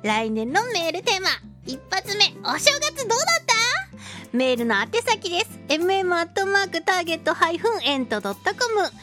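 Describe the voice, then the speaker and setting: A woman's voice: very high-pitched, sing-song, a little fast, sharp tone, soft background music. A woman hosting a radio talk show, chatting casually in a playful, cutesy, effusive way. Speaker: radio show host, voice actress.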